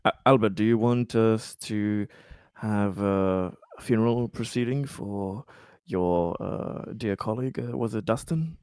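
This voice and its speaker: A man speaks in character at a tabletop game. His voice is fairly low and clear, recorded very dry. He chooses formal words and pauses often as he builds each sentence. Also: a little mellow, no room sound. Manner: hesitant, measured, formal wording. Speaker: tabletop roleplaying player. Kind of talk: in-character tabletop roleplay talk.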